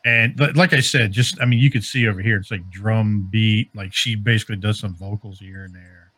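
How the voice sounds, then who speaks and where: A man's voice: lowish voice, steady tone, a little fast, a bit bright, a little hiss or hum. A man co-hosting a podcast, chatting casually and rambling through trivia in an informal, jokey way. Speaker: podcast co-host.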